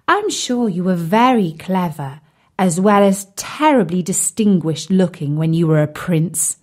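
A woman voicing a kind, protective lady swan in a children's story, gentle and coaxing. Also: on the low side, lively intonation, a little slow.

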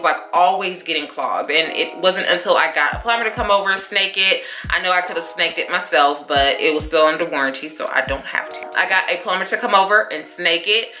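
A young woman chatting to camera in her bedroom, animated and talkative, calling viewers y'all and honey, with a deep voice. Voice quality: deep, low voice. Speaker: lifestyle vlogger. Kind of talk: casual chat to camera. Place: bedroom at home. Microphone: camera mic, seated facing camera. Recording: roomy bedroom echo.

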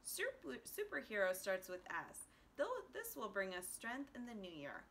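A woman's voice: mid-range voice, huge pitch swings, a little slow, fairly bright tone, a little hiss or hum, full character voice. A woman voicing an eager, inquisitive little boy in a children's storybook. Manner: inquisitive, earnest.

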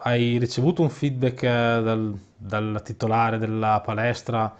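A man's voice: on the low side, little pitch movement, unhurried. Man interviewing over a dull-sounding call. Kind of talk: conversational interview questions. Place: indoor room. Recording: slightly dull video call audio.

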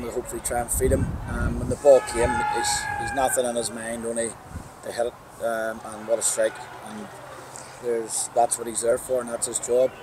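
Northern Irish manager in his forties, outdoor pitchside interview, even monotone, noisy open air. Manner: plain-spoken, upbeat, restarts phrases often. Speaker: football manager. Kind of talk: post-match interview. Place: outdoors at a football ground. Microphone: clip-on lapel mic. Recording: outdoor background noise.

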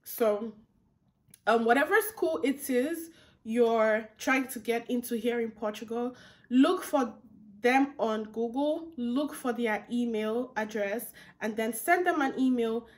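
A woman's voice: on the high side, slow. A young woman in her twenties giving casual advice straight to camera, chatty and repetitive, calling her viewers "guys". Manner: chatty, repeats phrases, talks to viewers as "guys". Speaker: vlogger. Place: indoor room with curtains behind.

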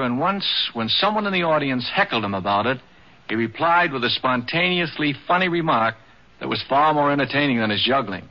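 A man reading scripted documentary narration as the narrator of a radio program. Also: mid-range voice. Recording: dull 1950s radio broadcast.

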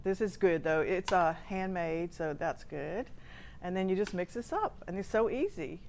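A woman's voice: on the low side, expressive, moderate pace, a little mellow, a little hiss or hum. A middle-aged woman, a guest chef, chatting while giving a cooking demonstration on a studio kitchen set, laughing easily.

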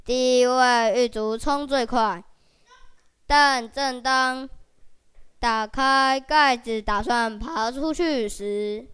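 A woman's voice: on the high side, takes it slowly, a bit bright, clean tone. A young female narrator, reading a scripted children's story. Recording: music behind.

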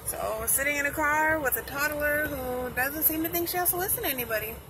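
A woman's voice: high voice, fast talker, over music. A young woman in her twenties, vlogging casually, talking straight to the camera in a chatty, rambling way. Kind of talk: casual family vlog. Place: home bedroom and parked car at night. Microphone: phone camera at arm's length. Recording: noisy phone recording.

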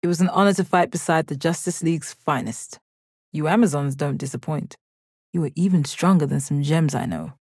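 A woman playing a stoic, confident, commanding gem warrior in a video game, with a measured, deadpan delivery. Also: deep voice, breathy edge, dry recording, studio quality. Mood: impressed. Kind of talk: video game character battle lines.

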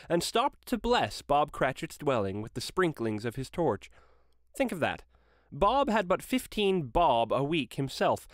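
A man narrating a classic story aloud in an expressive storytelling style. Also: on the high side, dry recording, clean studio sound.

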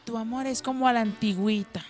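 A woman's voice: on the high side, fast talker, close to an everyday voice. A woman playing a childish, pouty girlfriend in a radio comedy sketch, with a Colombian accent and whiny baby talk. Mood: gushing. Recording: music playing behind.

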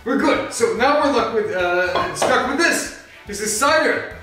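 A man's voice: very high-pitched, lively intonation, a little slow, bright, forceful tone, exaggerated voice. A man playing Batman, flustered cooking host. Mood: explaining. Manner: rambling, flustered, prone to angry outbursts. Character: Batman, gruff brooding male superhero cooking. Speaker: cosplay cooking show host in character. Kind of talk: comedic cooking demonstration. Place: home kitchen. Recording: very echoey room, mic far off, faint music.

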